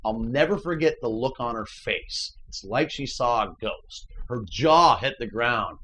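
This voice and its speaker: A man with a baritone voice, a musician hosting his channel, talks earnestly and emphatically in a casual motivational chat. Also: animated, a little slow, sharp tone, slight background noise.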